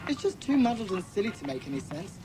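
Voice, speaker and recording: A woman's voice: medium pitch, very animated, fast talker, over loud music, natural voice. A woman voicing an earnest, well-spoken British schoolboy in a children's audiobook drama. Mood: puzzled. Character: Peter, earnest schoolboy leader of a children's detective club.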